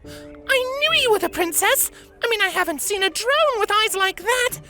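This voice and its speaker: A woman voicing an excitable, adoring little unicorn filly with a squeaky, eager voice for a story. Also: moderate pace, music in the background. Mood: gushing, excited. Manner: gushing, breathlessly eager.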